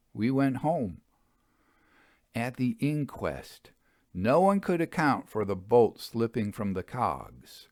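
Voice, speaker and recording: A man reading an old-fashioned first-person ghost story as scripted narration, in a measured, expressive storyteller's manner. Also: fairly low pitch, no room sound, studio quality. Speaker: audiobook reader.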